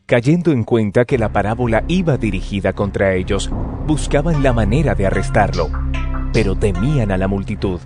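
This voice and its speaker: A man's voice: lowish voice, lively intonation, normal speed. A man narrating dramatized scripture in a scripted audio production. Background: music.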